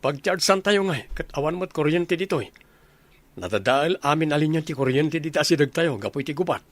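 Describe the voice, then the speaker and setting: A man's voice: fairly high pitch, expressive, fairly quick, dry recording. A Filipino man with a Filipino accent, acting as an earnest young soldier and devoted son in a radio drama.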